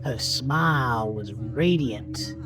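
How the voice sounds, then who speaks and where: Slow, brooding male horror narrator. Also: medium pitch, music in the background. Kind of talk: dramatic scripted horror story narration.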